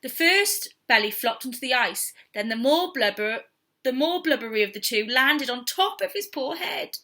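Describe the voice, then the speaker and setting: A woman reading a story aloud to young children in an expressive, theatrical storytelling style. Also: high voice, normal speed. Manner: theatrical, with whispers and sound effects.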